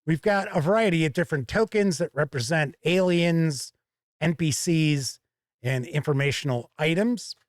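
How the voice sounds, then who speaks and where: A man hosting a game review to camera, presenting in a deliberate, emphatic way from an indoor studio. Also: fairly high pitch, no echo. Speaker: host and board game reviewer.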